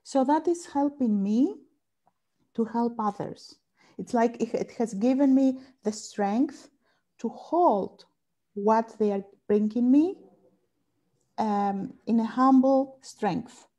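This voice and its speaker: Greek accent, woman, family therapist, slow and careful, mellow voice, pausing to find words. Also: fairly high pitch. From Greece. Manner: thoughtful, searching for words with pauses. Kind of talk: interview conversation.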